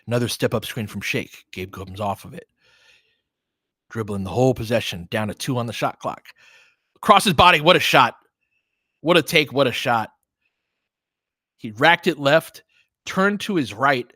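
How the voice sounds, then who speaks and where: A man's voice: medium pitch, pushed and bright, dry recording, clean studio sound. A man giving casual live basketball play-by-play, chatting conversationally as a partisan fan in short, clipped phrases. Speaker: basketball play-by-play host and commentator.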